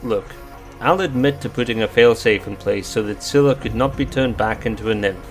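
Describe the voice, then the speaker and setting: An actor in an audio drama playing an ancient, weary, haughty sea goddess disguised as a gentleman scientist, with pompous, old-fashioned formal diction. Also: fairly low pitch, normal speed, faint music behind, close to an everyday voice. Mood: bitter, grieving.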